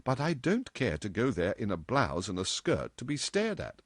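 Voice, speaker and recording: A man's voice: on the low side, wildly swooping pitch, slightly rough, no echo, studio quality, exaggerated voice. A man voicing a polite young woman secretary, hesitant and easily flustered. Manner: hesitant, halting, stammering politeness.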